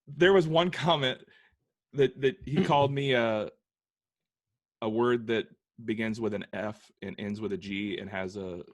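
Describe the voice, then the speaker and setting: A man's voice: on the low side, unhurried, no echo. Chatty, jittery man co-hosting a podcast. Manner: rambling, chuckles between phrases. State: hopped up on coffee. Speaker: podcast co-host. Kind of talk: casual chat.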